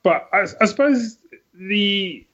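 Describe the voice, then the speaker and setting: Chatty higher-pitched man on lo-fi recording. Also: lively intonation, slow pace, a bit bright. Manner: chatty, self-deprecating, rambling asides. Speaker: podcast guest, author. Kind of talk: casual interview chat.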